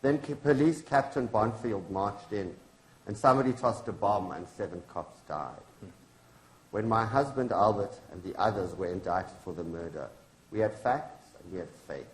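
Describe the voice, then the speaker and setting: A man's voice: on the low side, moderate pace, lightly acted. A man reading the part of a grieving, defiant activist's widow in a staged play, solemn and accusing in manner. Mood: grieving, bitter. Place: indoor launch event venue. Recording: echoey room.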